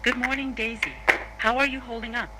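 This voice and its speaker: A woman playing a calm, businesslike interviewer, putting questions to a witness in a scripted horror drama. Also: a little high, fairly quick, low-quality recording. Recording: noticeable hum and noise.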